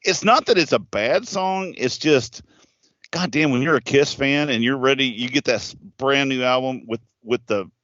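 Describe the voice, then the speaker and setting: A man's voice: medium pitch, normal speed, a bit bright, dry recording. A man in his fifties, a podcast co-host chatting casually in a rambling, profane way with lots of restarts. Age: 50s. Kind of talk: casual chat. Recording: dull lo-fi podcast audio.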